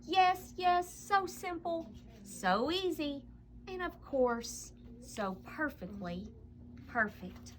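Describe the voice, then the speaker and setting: A woman reading a children's picture book aloud as a storyteller, with expressive, dramatic delivery and drawn-out emphasis. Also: high voice.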